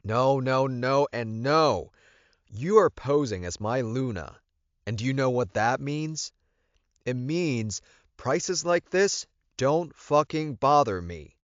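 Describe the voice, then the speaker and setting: A man's voice: mid-range voice, animated, slow pace, no room sound, muffled recording, voice pushed up. A man voicing a bossy, wealthy male werewolf leader in an audiobook, commanding and insistent.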